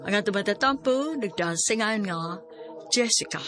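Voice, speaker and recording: A woman's voice: medium pitch, animated, normal speed, bright, forceful tone, a little hiss or hum. A woman announcer delivering a scripted, dramatic introduction for a radio drama. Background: music.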